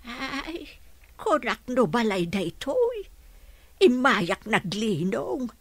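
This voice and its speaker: A woman playing a forgetful, hungry old grandmother in a radio drama, speaking in halting, faltering phrases and repeating herself. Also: on the high side, very animated, no room sound, heavily acted. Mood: bewildered.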